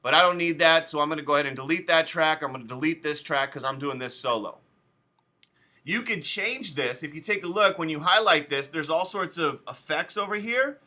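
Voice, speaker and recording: A man's voice: on the high side, expressive, fairly quick, pushed and bright. A male teacher walking students through a recording program step by step, chatty and casual with loose asides. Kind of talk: casual classroom software tutorial.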